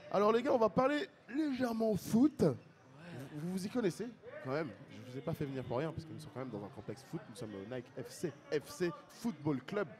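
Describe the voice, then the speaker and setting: A man from Paris hosting a casual interview, relaxed and joking with lots of fillers, recorded outdoors at a football complex. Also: a little high, a little fast, crowd noise. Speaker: host.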